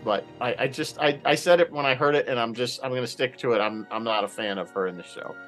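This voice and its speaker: A man's voice: medium pitch, quick pace, fairly bright tone, faint music behind. A man giving his opinions as a panelist in a casual roundtable, in a rambling way.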